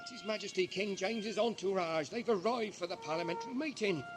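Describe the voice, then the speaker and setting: An actor playing a rough, high-strung seventeenth-century plotter in an audio drama, blustering and menacing. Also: male voice, brisk, natural voice. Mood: agitated. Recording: 1980s amateur audio drama with music.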